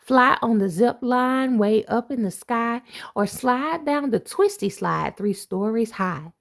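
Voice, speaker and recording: Slow, lively storytelling woman. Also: fairly high pitch, creaky. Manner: expressive storybook reading with playful asides. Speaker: assistant principal reading aloud. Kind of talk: children's picture book read-aloud.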